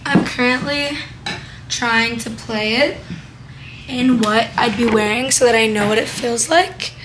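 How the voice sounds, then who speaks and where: An eighteen-year-old woman with a raspy voice, chatting casually to a handheld camera in her room and car, full of "like" and "um". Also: a little high, measured pace, background noise. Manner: chatty, lots of "like" and "um". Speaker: vlogger and singer. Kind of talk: casual vlog chat to camera. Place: small bedroom, then inside a car. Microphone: handheld camera close to face.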